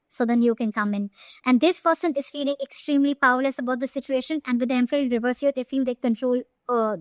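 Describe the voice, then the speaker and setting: High-pitched woman giving a tarot reading. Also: dry recording. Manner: emphatic, checks in often with short tag questions. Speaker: tarot reader. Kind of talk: informal tarot reading addressed to the viewer.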